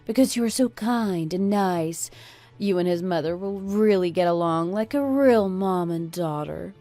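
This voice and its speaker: A woman playing a fed-up, sharp-tongued married woman in a radio drama, speaking in an emphatic, scornful, lecturing manner. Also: fairly low pitch, a little slow, dry recording. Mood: pointed, resentful. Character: fed-up, sharp-tongued oldest daughter-in-law, a divorcing breadwinner. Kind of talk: scripted radio drama acting.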